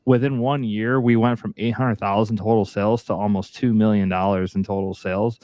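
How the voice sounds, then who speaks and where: A man's voice: fairly low pitch, fairly even intonation, fairly quick, warm tone, dry recording. A man hosting a podcast, talking conversationally as he shares experience and puts questions to a guest. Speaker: podcast host, investor. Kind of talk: conversational interviewing.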